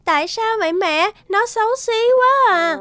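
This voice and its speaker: High-pitched cheerful little girl. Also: expressive, normal speed. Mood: puzzled. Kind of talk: children's cartoon dubbing. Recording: music behind the voice.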